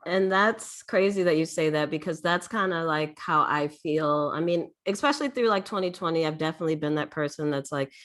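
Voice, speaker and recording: A young woman interviewing a guest on a video call, chatty and animated, with many filler words and laughs. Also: deep voice, brisk, few pauses. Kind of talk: casual interview chat.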